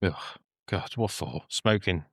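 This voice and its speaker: A man's voice: low voice, lively intonation, slow, creaky, dry recording, clean studio sound. A man co-hosting a casual podcast, chipping in with short jokes and remarks. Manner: jokey, short interjections. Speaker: podcast co-host. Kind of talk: casual chat.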